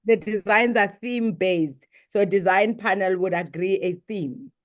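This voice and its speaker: A middle-aged South African woman, a Sepedi first-language speaker, speaks as a business executive being interviewed. She is measured and composed, with a middle-pitched voice. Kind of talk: interview answers.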